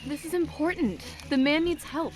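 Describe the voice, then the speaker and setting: A woman's voice: sits high, normal speed, over music, natural voice. An actress playing a concerned, determined young woman in an audio drama game, earnest and insistent.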